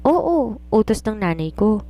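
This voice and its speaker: A woman's voice: medium pitch, big pitch swings, a little slow, warm tone, voice pushed up. A woman voicing a young housemaid in an audiobook story, naive and curious, who speaks respectfully to her elder. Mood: doubtful. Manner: inquisitive, respectfully addressing an elder. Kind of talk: audiobook character dialogue.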